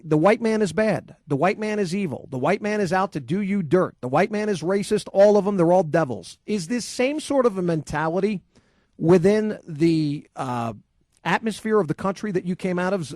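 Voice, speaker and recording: A man's voice: sits high, animated, normal speed, a little mellow, dry recording. A man hosting a sports call-in radio show, ranting combatively and sarcastically into a studio microphone. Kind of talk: heated opinion monologue and call-in.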